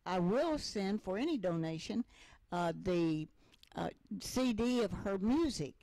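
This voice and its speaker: A woman's voice: medium pitch, lively intonation, measured pace, warm tone, dry recording. An older woman hosting a talk show, chatting in a rambling way with many fillers. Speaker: host.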